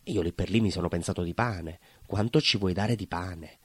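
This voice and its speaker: A man's voice: deep voice, moderate pace, slightly rough, dry recording. A man playing a nostalgic, naive, proud neighbourhood baker in a theatrical monologue, with a southern Italian accent and a colloquial, rambling, emphatic way of telling. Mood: naive storytelling. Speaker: stage actor.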